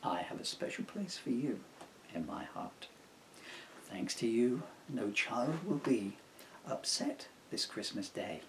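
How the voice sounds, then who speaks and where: A man voicing a big, kindly, jolly old Santa with a hearty, booming manner in a storybook reading. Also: very animated, slow, a bit bright, a little hiss or hum, full character voice. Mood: tender, grateful.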